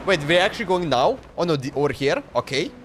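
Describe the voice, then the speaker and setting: High-pitched man commentating casually on gameplay. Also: animated, fast, fairly bright tone, a little hiss or hum. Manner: thinking aloud in short slangy bursts. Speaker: game streamer. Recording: game music playing behind.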